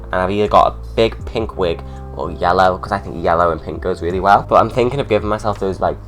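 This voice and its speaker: A man's voice: deep voice, fast talker, faint music behind. A young man with a stuffy cold, chatting sarcastically through a drag makeup tutorial at home. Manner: chatty, sarcastic, ranting asides.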